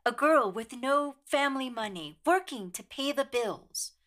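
A woman playing a tender young sweetheart in a radio drama, soft, measured and earnest. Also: sits high, lively intonation. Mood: wounded.

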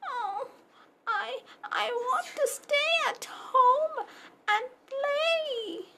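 A woman voicing a sweet, excitable and whiny little boy puppy in a children's storybook, with a childlike, eager manner. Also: very high voice, extremely slow, a little hiss or hum, voice pushed up, exaggerated voice. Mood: tearful, whiny. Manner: childlike, eager, tearful when upset. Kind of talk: children's storybook read-aloud.

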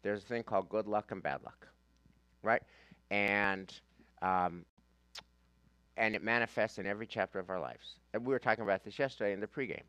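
A man's voice: sits low, a bit bright, no echo, rough recording. A man with an American accent teaching a class as a rabbi, informal and emphatic, repeating phrases and posing rhetorical questions. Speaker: rabbi leading a Talmud class. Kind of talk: informal teaching talk with reading from a handout.

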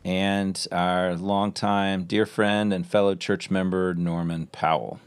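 Lower-voiced man hosting a church podcast. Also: flat delivery, slow, smooth. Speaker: senior pastor and podcast host. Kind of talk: interview hosting.

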